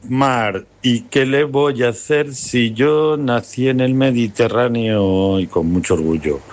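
A man's voice: mid-range voice, takes it slowly. A man calling a radio show with a playful voice message, musing on words for the sea. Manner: playful, ends singing la-la-la. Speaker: caller.

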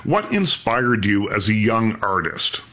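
A man hosting a radio interview, asking lively conversational questions and reading out promos. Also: lowish voice, unhurried. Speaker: radio show host.

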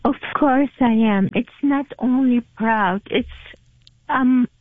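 A woman caller with a French accent, whose first language is French, speaking earnestly with halting repetitions. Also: fairly high pitch, no echo. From immigrant to Canada. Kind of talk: phone-in call. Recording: tinny phone line.

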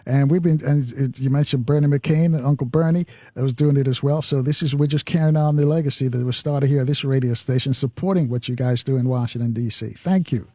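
A man hosting a live radio talk show, speaking in a halting way and repeating words as he goes. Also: medium pitch, fairly even intonation, warm tone, no room sound. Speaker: radio host. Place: radio studio.

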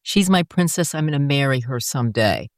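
A woman's voice: rumbling low, animated, fairly quick, no echo, studio quality. An older woman, an author, recounting her life in an interview, earnest and reflective.

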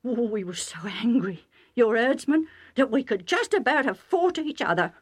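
A woman voicing an excitable male herdsman with rustic working-class speech, agitated and insistent, pleading with his master. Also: on the high side, moderate pace, slightly rough, pitched above the performer's own voice.

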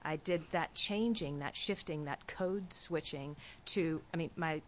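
A woman writer from Appalachia with a softened Appalachian accent, speaking in a measured, lyrical way on a panel. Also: sits low, slight background noise. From Appalachian region of the US. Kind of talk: reflective panel talk.

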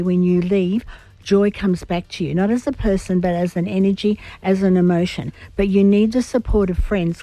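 A woman with a croaky, strained voice, giving psychic readings to radio callers in a chatty, advising way. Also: mid-range voice, no room sound, rough recording. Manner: chatty, giving advice warmly and quickly. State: croaky after vocal cord procedure. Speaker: psychic guest.

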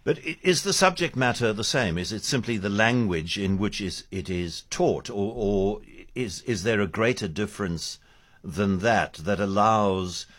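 A male interviewer asking long, halting questions and rephrasing them as he goes. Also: lowish voice, expressive, a bit bright.

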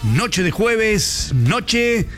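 A man reading sports news on the radio in a crisp, emphatic announcer delivery. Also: high voice, big pitch swings, extremely slow, fairly bright tone, faint music behind. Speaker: sports reporter.

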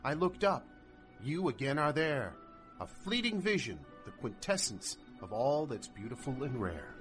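A young husband, played by a man recites a love poem in a slow, earnest way. Soft music runs under his voice in this audio drama. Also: medium pitch, sing-song. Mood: tender.

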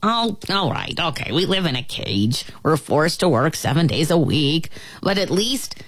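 A woman's voice: low-pitched, very animated, moderate pace, no room sound. A woman voicing an easygoing, cheerful fly in a storybook, mild and placating in manner. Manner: mild, placating, easily amused.

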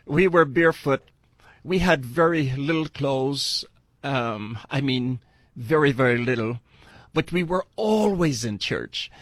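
A man's voice: mid-range voice, a bit bright, dry recording. Older man, close dry sound. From Barbados. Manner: earnest, deliberate, repeats phrases for emphasis. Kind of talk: personal testimony storytelling.

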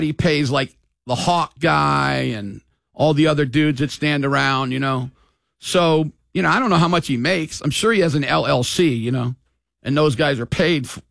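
A man's voice: fairly high pitch, vocal fry, a bit bright, no room sound. An older man hosting sports talk radio, rambling and opinionated in a casual way.

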